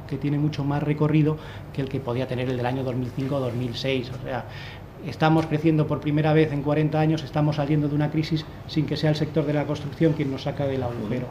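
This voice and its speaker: Guy in his 30s talking markets on a panel, kinda high voice, muffled desk mic. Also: dark tone, a little hiss or hum. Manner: analytical, thinks aloud with many restarts. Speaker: fund manager on a panel. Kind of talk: panel discussion. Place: wood-panelled conference room. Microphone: desk microphone.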